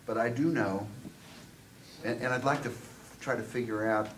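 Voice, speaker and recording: A man in the audience of a seminar, commenting in a discursive, deliberate way with many asides. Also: mid-range voice, fairly even intonation. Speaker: audience member, college tutor. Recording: distant mic, noisy room.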